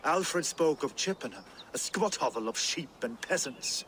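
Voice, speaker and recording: An actor playing a hot-tempered, vengeful Viking warlord in a video game, with archaic, grandiose speech. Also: male voice, fairly high pitch, lively intonation, normal speed, a bit bright, slight background noise. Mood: brooding. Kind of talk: dramatic game cutscene acting. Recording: music behind the voice.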